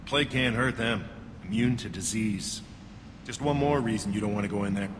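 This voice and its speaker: An actor playing a gruff, cynical alien checkpoint guard in a game, talking in a dry, curt and dismissive way. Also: male voice, fairly low pitch, normal speed, natural voice. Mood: matter-of-fact. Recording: reverberant, with loud background noise.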